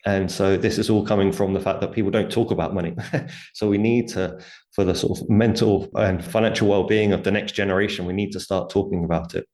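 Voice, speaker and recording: A man's voice: deep voice, steady tone, fairly quick, a little mellow. A man speaking as an interview guest, explaining things conversationally step by step and voicing imagined quotes as he goes. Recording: roomy, echoing sound.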